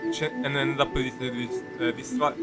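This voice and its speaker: Halting man over game music. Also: mid-range voice, fairly even intonation. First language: Italian. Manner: halting, rambling, searching for words. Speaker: game streamer. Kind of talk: casual live gameplay commentary.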